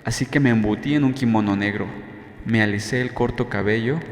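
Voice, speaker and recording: A man reading a novel as an audiobook narrator, in measured, dramatic first-person storytelling. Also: medium pitch. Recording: roomy long reverb, faint music. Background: faint music.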